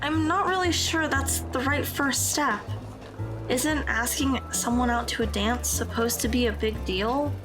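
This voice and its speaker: An earnest, hesitant teenage schoolgirl acted by a woman in an audio drama. Her voice is high and tentative, easily flustered, with music clearly playing behind her. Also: measured pace. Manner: tentative, questioning.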